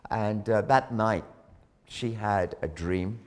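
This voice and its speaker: A man preaching a sermon, telling a story dramatically, rising loud on key words and dropping low again. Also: slow pace. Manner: dramatic, swells loud on key phrases then drops low.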